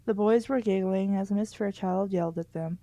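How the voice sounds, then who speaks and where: A woman reads a story aloud at a middling pitch in a dry, close recording. Her style is casual, and she breaks into wry, candid commentary as she goes. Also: moderate pace, warm tone, no room sound. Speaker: host reading a children's book aloud and commenting.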